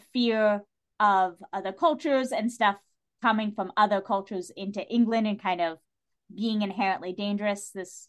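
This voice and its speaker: A woman in a casual, opinionated discussion as a podcast guest, speaking with emphatic enthusiasm. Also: mid-range voice.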